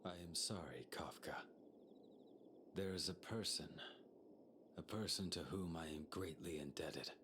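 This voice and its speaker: A man playing a brooding, stoic swordsman in a video game, grave and hushed. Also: low voice, a little slow, pauses often, background noise. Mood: grave, restrained. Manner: terse, grave.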